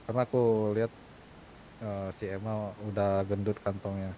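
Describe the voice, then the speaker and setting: A man's voice: on the low side, little pitch movement, normal speed, mellow, a little hiss or hum, rough recording. A man talking casually in a group discussion during an online roleplay game. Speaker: roleplay gang member.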